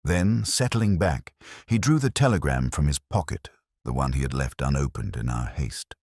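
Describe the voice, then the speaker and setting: A man's voice: rumbling low, lively intonation, moderate pace, darkish tone, no echo, studio quality. A man reading scripted first-person mystery fiction as a narrator, in a solemn, atmospheric storytelling manner. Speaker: audiobook narrator.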